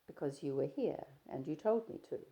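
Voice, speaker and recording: A woman voicing a shy, reserved young woman traveller in an audiobook, with soft, hesitant replies. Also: low voice, expressive, moderate pace. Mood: wistful.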